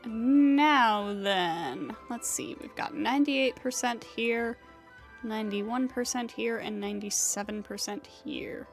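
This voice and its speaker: A woman streams a game with casual commentary. She talks slowly in a slightly high voice, over faint game music. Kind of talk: casual live stream commentary, reading game lines aloud.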